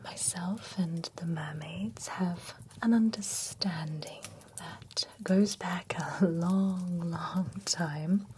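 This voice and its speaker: A woman's voice: lowish voice, slight background noise. A woman whispering as a nosy undead-spa beautician. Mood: soothing. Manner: soft unvoiced whisper throughout; chatty and nosy. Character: gossipy, envious female beautician at an undead spa. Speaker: ASMR roleplay creator. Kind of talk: whispered ASMR roleplay. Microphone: close ASMR microphone.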